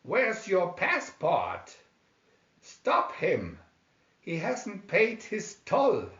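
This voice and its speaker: Man doing a loud, officious big water rat. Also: high voice, huge pitch swings, extremely slow, far from the mic, pitched above the performer's own voice, full character voice. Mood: angry, demanding. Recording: echoey room, dull lo-fi sound.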